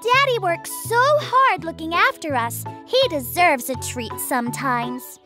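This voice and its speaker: A woman's voice: very high voice, unhurried, faint music behind, full character voice. A woman voicing a plucky, caring young girl in a preschool cartoon, with exclamatory, commanding delivery. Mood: caring, cheerful. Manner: bright, urgent, exclamatory commands.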